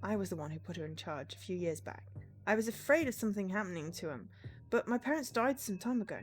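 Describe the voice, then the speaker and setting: A woman's voice: medium pitch, animated, quick pace, soft background music, natural voice. A woman voicing an evasive female cyborg in a visual novel, insistent and defensive. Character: evasive, pleading female cyborg best friend.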